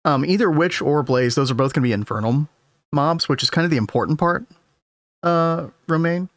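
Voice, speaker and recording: A man streaming a game in casual, rambling talk to his chat, his voice a little hoarse. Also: on the high side, normal speed, fairly bright tone, no echo. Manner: rambling, easygoing, talks to chat. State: losing his voice. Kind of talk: casual gameplay commentary.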